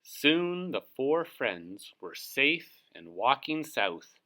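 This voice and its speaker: A storyteller narrating a children's book in an expressive read-aloud style. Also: mid-range voice, drawn-out words. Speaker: storybook reader.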